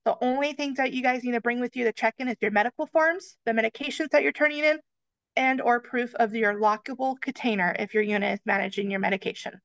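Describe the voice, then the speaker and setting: A woman with a fairly high voice talks in a friendly way through camp procedures on a video call. Her sound is dry and a little muffled, as from a basic webcam mic. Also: fast talker, gravelly, a bit bright, no room sound. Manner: friendly, addresses listeners as you guys. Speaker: camp staff presenter. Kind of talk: slideshow briefing.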